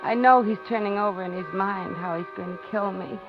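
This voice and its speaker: A woman's voice: medium pitch, brisk, close to an everyday voice. An actress playing a woman in danger telling her own story, dramatic and confiding, in 1950s radio drama style. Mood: dread, resigned. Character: frightened woman narrating her own impending murder. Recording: dull vintage radio, music behind.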